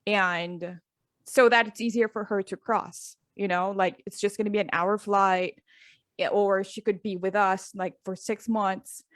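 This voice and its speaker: A middle-aged woman from the Philippines, a guest coach, telling her story openly in a relaxed interview, with many fillers and restarts. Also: mid-range voice, unhurried, dry recording, clean studio sound. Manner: earnest, open, with frequent fillers and restarts. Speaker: guest, life and business coach.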